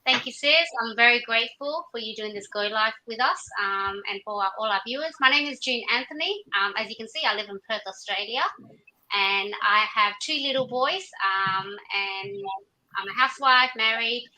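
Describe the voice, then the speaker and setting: Woman from myanmar, video call guest, very bright voice, lots of ums. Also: fairly high pitch, normal speed, poor audio. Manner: grateful, many fillers. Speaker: guest, business owner. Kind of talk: self-introduction on a live stream.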